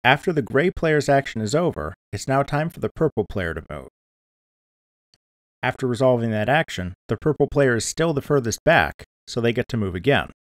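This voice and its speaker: A man giving scripted instructional narration in a clear, methodical, step-by-step manner, as a tutorial presenter. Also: medium pitch, very animated, moderate pace, no room sound, studio quality. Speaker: board game tutorial presenter.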